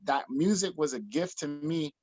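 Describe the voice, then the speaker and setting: A man, telling his story as a guest in an interview, animated, energetic, with many restarts. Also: a little high, normal speed. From Jamaica. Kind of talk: video call interview. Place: small room in front of a printed backdrop. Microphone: laptop or webcam on video call.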